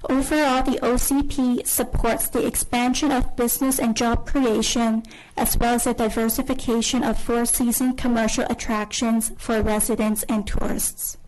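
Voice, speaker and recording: A woman's voice: on the high side, flat delivery, moderate pace, darkish tone, a little hiss or hum, rough recording. A woman on town staff reading a formal report aloud at a council hearing. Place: council chamber.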